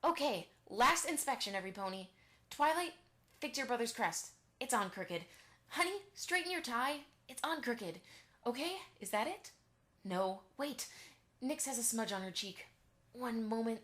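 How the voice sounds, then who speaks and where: A woman playing a bustling, bossy, excitable pony mother in an amateur audio drama, brisk and fussing. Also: on the high side. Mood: fussy, hurried.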